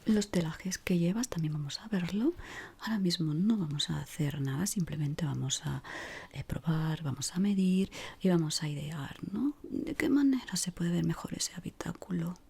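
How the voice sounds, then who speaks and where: Whispering Spanish woman, soft ASMR roleplay. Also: lowish voice, vocal fry, slightly rough. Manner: soft, unhurried, gently instructing. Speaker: ASMR performer playing a decorator guiding a newcomer.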